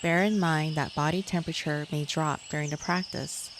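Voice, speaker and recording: A woman guiding a yoga nidra relaxation in a measured, soothing manner, as a scripted meditation. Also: low-pitched, fairly even intonation. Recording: clear insect and nature noise behind.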